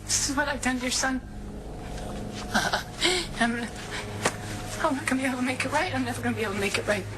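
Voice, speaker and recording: An actress playing a middle-aged, guilt-ridden mother in a television drama, speaking in a halting, tearful, self-blaming way. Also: sits high, lightly acted. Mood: tearful, despairing. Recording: clearly noisy background.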